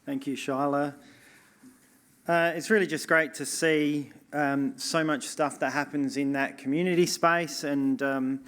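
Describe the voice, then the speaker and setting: A man chairing a conference session, casual and hesitant with many fillers. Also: medium pitch. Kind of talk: conference presentation.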